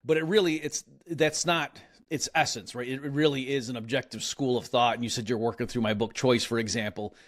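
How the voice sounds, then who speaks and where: A man's voice: medium pitch, steady tone, brisk, hardly any pauses. A man being interviewed as an economist, explaining ideas in a casual, conversational way with frequent fillers and restarts. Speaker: economist guest.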